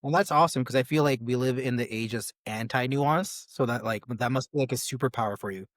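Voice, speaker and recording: A man's voice: mid-range voice, quick pace, no room sound, studio quality. A man hosting a podcast interview, asking questions in an easygoing way, with lots of fillers. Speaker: podcast host. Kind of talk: casual interview talk.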